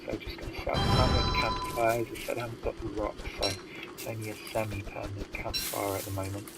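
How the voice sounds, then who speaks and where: Young male gamer, low voice, congested, halting casual commentary over loud game music. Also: steady tone. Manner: hesitant, many ums and restarts. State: stuffy with hay fever. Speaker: gamer commentating his own play.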